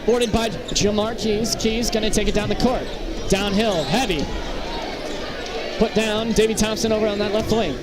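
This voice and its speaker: A man doing live play-by-play commentary of a basketball game from a high school gym. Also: steady tone, normal speed. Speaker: play-by-play announcer. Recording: loud crowd and gym noise. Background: basketball crowd, bouncing balls.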